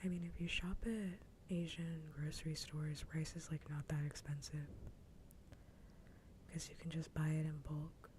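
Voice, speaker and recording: Female ASMR host, laid-back breathy whisper, dark relaxed tone, even delivery, casual chat. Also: deep voice, normal speed, a little hiss or hum, poor audio. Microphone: close ASMR microphone.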